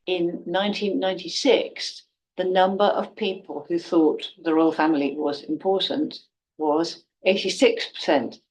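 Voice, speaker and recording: A woman teaching an online class, speaking clearly and evenly and putting quiz questions to her students. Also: lowish voice, steady tone, a little mellow, a little hiss or hum, poor audio. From Britain. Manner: clear, measured, poses quiz questions to the viewer. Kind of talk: online class introduction video.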